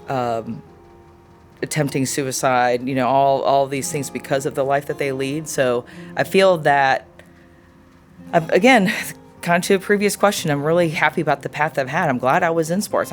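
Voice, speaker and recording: A woman in her forties answering an interview question in a thoughtful, earnest way, often pausing to search for words. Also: extremely low pitch. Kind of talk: reflective personal interview answer.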